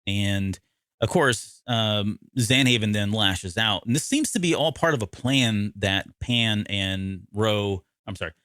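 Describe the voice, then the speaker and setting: Male podcast co-host, lower-pitched, dry close sound, conversational, self-correcting recap delivery. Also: unhurried, a bit bright, clean tone, no echo. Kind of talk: casual discussion recapping an audio drama.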